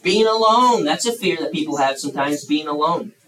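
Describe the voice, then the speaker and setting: A man's voice: fairly high pitch, expressive, brisk, fairly bright tone. A man in his thirties preaching to young people in a folksy, chatty way, full of fillers and restarts. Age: 30s. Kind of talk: youth sermon with audience questions. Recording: echoey room, mic far off, faint hiss.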